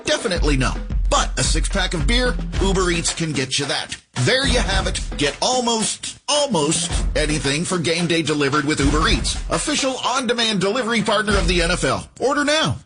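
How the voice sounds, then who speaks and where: Male ad announcer, high voice, very lively intonation, playful, teasing question-and-answer delivery. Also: brisk, few pauses. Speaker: commercial announcer. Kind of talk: playful scripted ad read. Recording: music bed behind.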